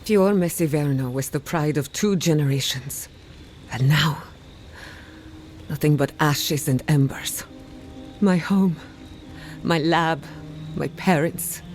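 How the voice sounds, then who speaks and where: An actress playing an earnest, burdened but resolute woman scholar in a fantasy video game, speaking in a measured, reflective way. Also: low voice, a little mellow, faint music behind. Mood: grieving. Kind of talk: dramatic video game dialogue.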